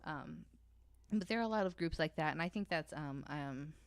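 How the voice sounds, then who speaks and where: Low-voiced woman chatting, clear and close. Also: normal speed, dry recording. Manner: informal, sweary, hesitant phrasing. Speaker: podcast panelist. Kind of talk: casual unscripted discussion.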